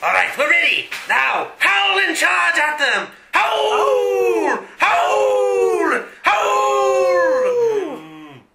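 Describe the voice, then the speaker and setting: Pompous evil cowboy villain, real high and swoopy, radio play spoof, echoey room. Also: male voice, wildly swooping pitch, drawn-out words, gravelly, shouting, background noise, full character voice. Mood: frantic. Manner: pompous, theatrical, gleefully evil. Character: vile scheming melodramatic mustache-twirling male western villain. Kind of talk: parody of old radio western serials. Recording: roomy echo.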